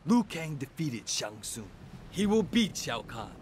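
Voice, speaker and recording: A hot-headed young warrior monk from a video game. His voice sits fairly high for a man and swings with feeling, over clear background noise. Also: big pitch swings, a little slow. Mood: confident. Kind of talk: video game cutscene acting.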